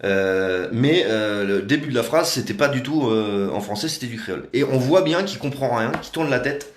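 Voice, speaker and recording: A man's voice: mid-range voice, lively intonation, moderate pace, echoey room. A man talking casually and sarcastically on a live video from a room at home, with many hesitations. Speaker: activist livestreamer.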